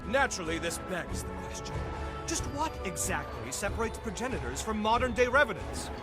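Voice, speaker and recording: An actor voicing a pompous, eccentric scientist in a video game, with florid, condescending, theatrically polite speech. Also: male voice, high voice, very animated, a little fast, loud music behind the voice. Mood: lecturing. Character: eccentric, self-important revenant scientist, a theatrical lecturer. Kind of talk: video game cutscene acting.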